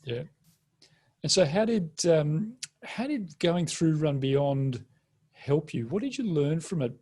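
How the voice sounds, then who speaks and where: A man's voice: a little high, lively intonation, slow pace, a little mellow, slightly rough. A man hosting a conversational interview and asking questions.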